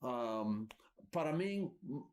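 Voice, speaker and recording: A man's voice: mid-range voice, very animated, very slow, darkish tone. A man interpreting a sermon, hesitant and searching for words.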